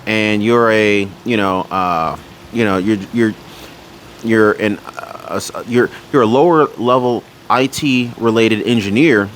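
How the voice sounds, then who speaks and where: A man's voice: medium pitch, fairly bright tone, a little hiss or hum. A man hosting a personal finance channel, talking casually to his viewers and often restarting his sentences. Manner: rambling with frequent restarts and fillers. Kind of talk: casual talk to camera.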